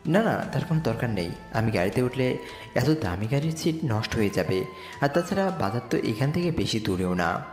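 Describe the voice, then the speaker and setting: Brief: male actor as humble, earnest young slum lad, animated delivery, heavy reverb, music underneath, romantic audio drama. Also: mid-range voice, a little fast. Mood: shy, humble. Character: poor young slum boy, humble, earnest, narrating his story. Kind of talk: romantic audio story narration and dialogue. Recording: echoey reverb with music bed.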